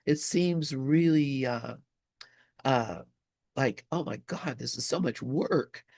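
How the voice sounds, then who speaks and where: A man leading a spiritual study group in an informal, conversational talk, often halting as he searches for words. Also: mid-range voice, creaky, darkish tone, no echo, clean studio sound.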